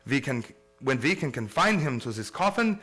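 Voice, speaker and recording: A man's voice: medium pitch, sing-song, normal speed, pushed and bright. A man playing a grave, learned professor who hunts vampires in a radio play, speaking in solemn, formal oratory. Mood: grave, resolute. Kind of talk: old-time radio play acting.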